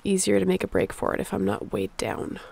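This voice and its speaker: A woman's voice: medium pitch, completely flat delivery, brisk, vocal fry, darkish tone, husky. A woman playing a sick, stubborn survivor of a zombie apocalypse in an audio roleplay, speaking in a weary, conversational way. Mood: uneasy, cautious. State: feverish, under the weather.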